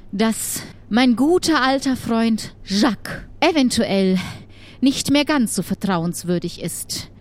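A woman voicing a troubled, secretive witch who trades in dark goods, speaking in an earnest, halting, pleading way in an improvised audio adventure. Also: a little high. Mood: troubled, suspicious.

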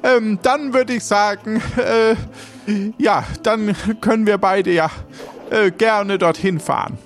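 A man playing a flustered, easily flattered ship navigator who sighs and speaks haltingly. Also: high voice, faint music behind, pitched above the performer's own voice. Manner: halting, sighing with repeated "ach".

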